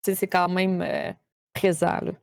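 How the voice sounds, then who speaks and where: A woman's voice: lowish voice, completely flat delivery, normal speed, gravelly, no echo, studio quality. A woman with a Québécois French accent, chatting casually as a guest streamer, with many fillers and restarts. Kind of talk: casual podcast chat.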